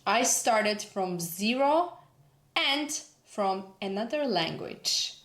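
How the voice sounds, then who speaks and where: A woman's voice: mid-range voice, expressive, slow, a bit bright, echoey room. A woman in her thirties with a Turkish accent, a branding coach giving an earnest motivational talk to camera. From Turkey. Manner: earnest, emphatic, repeats for emphasis.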